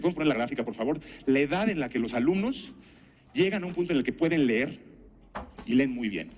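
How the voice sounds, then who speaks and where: A middle-aged man with a Mexican accent giving a conference talk, telling anecdotes and asking rhetorical questions in a didactic, deliberate way. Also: mid-range voice. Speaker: conference speaker and health professional.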